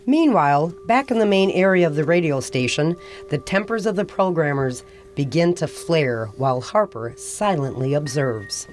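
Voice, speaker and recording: The narrator of a detective radio play. Her lowish voice tells the story with composure over faint music. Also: animated, moderate pace, darkish tone. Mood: composed. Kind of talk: scripted audio drama narration.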